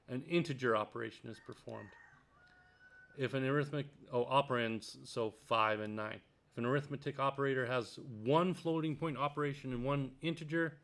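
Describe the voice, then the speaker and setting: A man teaching programming, reading passages from a textbook aloud and commenting as he works, with frequent ums and uhs. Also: medium pitch. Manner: thinks out loud, many ums and uhs; reads passages then comments on them. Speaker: programming tutor. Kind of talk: screen-recorded coding tutorial, reading a textbook aloud.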